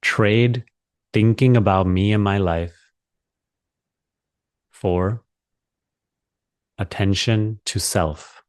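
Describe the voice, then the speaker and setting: A man leading a guided meditation as a teacher, speaking calmly and deliberately with long pauses. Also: on the low side, no room sound, clean studio sound.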